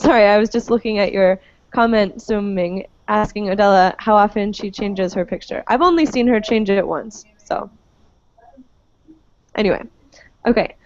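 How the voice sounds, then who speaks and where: A woman's voice: on the high side, a little mellow, lo-fi sound. Patient woman teaching English online. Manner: patient, many fillers. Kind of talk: live online class, friendly explaining. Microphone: computer mic on a video call.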